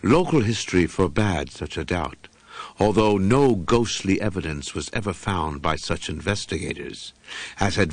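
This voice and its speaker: A man with a fairly low voice narrates a horror audiobook in a very dry acoustic. His delivery is formal and measured, with a dramatic storyteller's sense of phrasing. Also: no room sound.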